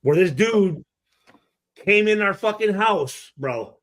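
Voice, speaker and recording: A middle-aged man telling a casual story with heavy swearing, halting and repeating himself as he acts out exchanges. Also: high-pitched, expressive, fairly bright tone. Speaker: podcast guest telling a story.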